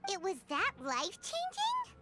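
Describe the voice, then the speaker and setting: A woman voicing a tiny, childlike fairy companion in a video game, squeaky, cheeky and blunt. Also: huge pitch swings, measured pace, heavy background noise, heavily acted. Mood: curious. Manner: cheeky, refers to herself in third person.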